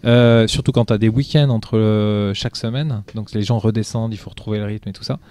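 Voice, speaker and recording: A man's voice: lowish voice, steady tone, brisk, warm tone. A man being interviewed on a podcast about his film, talking casually and animatedly, with many restarts and fillers. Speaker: film director, guest.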